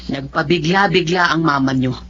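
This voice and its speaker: Low-voiced, cautious Filipino aunt. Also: female voice, moderate pace, fairly bright tone, slight background noise. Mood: concerned. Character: concerned, cautious middle-aged aunt, motherly adviser. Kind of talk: Filipino radio drama acting. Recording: dull lo-fi audio.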